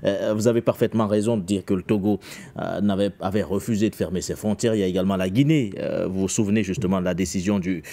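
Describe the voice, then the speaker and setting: A male host with a low, mellow voice thanks callers and moderates the debate. He speaks politely, pausing often on fillers. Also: fairly even intonation, creaky. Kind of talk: hosting a debate show.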